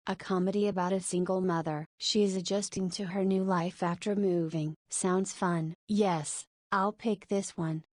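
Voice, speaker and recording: A woman's voice: lowish voice, darkish tone, no echo, clean studio sound, natural voice. A woman voicing a forty-year-old single mother and school principal in a visual novel, with teasing, motherly banter. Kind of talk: voiced visual novel dialogue.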